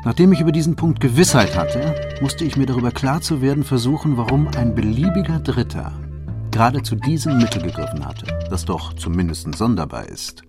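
An actor playing a shrewd detective in a German radio play, laying out his case in a deliberate, formal and precise manner. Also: mid-range voice, natural voice. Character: shrewd, methodical male detective recounting his solved case.

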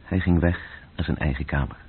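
A man narrating a literary radio drama in terse, measured phrases, with a gravelly, breathy voice. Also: extremely low pitch. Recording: muffled, tinny old radio-play recording.

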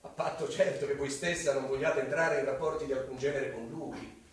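An actor playing a pompous, easily offended suitor in a radio drama, with a formal, indignant manner. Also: male voice, medium pitch, steady tone, brisk, lightly acted. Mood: insinuating. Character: pompous, offended, arrogant middle-class suitor. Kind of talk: Italian radio drama acting. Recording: very echoey, distant, music underneath.

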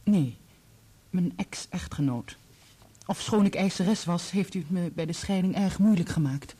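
A candid, composed divorcee in a radio play. Her voice is warm and dark, with a breathy huskiness. She speaks deliberately and asks her questions in a measured, probing way. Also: lowish voice. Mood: candid, reflective. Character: composed, candid divorced woman, late lover of a knight. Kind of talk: Dutch radio play acting.

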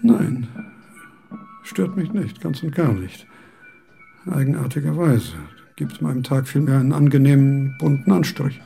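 An actor playing an aging, fastidious male philosopher in a radio drama, speaking with formal old-fashioned courtesy. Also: medium pitch, creaky, dark tone, faint music behind.

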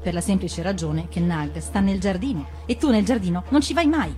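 A woman's voice: on the low side, moderate pace, warm tone, faint music behind, close to an everyday voice. A woman voicing a bold female mongoose, a snake hunter in a storybook, disdainful and sure of herself. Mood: confident. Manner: disdainful, confident.

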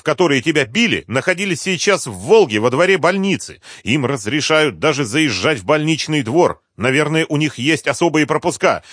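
A male narrator plays a young woman in an audiobook, urgent and insistent. The voice is pushed high and swings widely in pitch, recorded close and dry. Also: fairly bright tone, no echo. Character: urgent, desperate young woman journalist named Rimma. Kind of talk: audiobook character dialogue.

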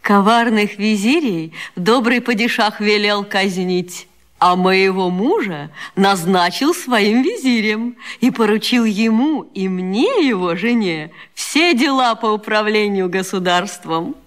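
An actress in a radio play voicing a storyteller wife from Arabian tales, ornate and declamatory. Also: female voice, mid-range voice, expressive, slow pace. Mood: grand storytelling. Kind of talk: radio vaudeville acting.

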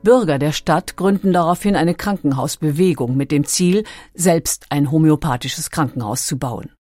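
A woman with a distinctly low voice narrates a documentary from a script. She is measured and factual, and the sound is very dry with faint music. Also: darkish tone, no echo.

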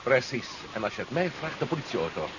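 An actor playing a bold, quick-witted adventurer with a brisk, commanding manner in a 1970s radio drama. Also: male voice, medium pitch, very animated, natural voice. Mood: sly, confident. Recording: muffled, noisy 1970s radio recording.

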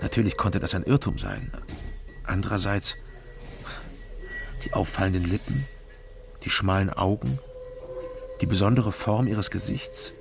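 An actor playing a dry, ironic East Berlin private detective in a radio drama, understated and questioning. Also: male voice, sits low, measured pace, gravelly, pauses often, over music. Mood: pensive narrating. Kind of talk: radio drama dialogue and first-person narration.

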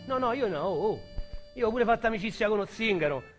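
An actor playing an excitable, streetwise Roman small-time robber, telling his story as a theatrical radio drama monologue in slangy dialect. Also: high-pitched, fairly quick. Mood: streetwise, reminiscing. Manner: slangy, vulgar, theatrical storytelling with shouts and hushed asides. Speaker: actor narrator. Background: music under the voice.

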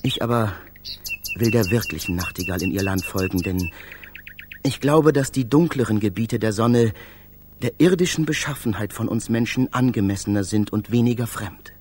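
An actor playing an adventurous, hot-tempered poet, delivering theatrical literary monologue in a radio play. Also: male voice, fairly low pitch, moderate pace, slight background noise, lightly acted. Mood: hopeful, dreamy. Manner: theatrical, exclamatory, shifting from hushed to shouting. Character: adventurous, hot-tempered male poet wandering among fantastic birds.

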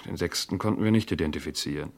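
A man's voice: low-pitched, quick pace, gravelly. An actor playing a diligent police inspector in a German radio crime drama, reporting to his chief in a precise, matter-of-fact way. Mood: factual, methodical.

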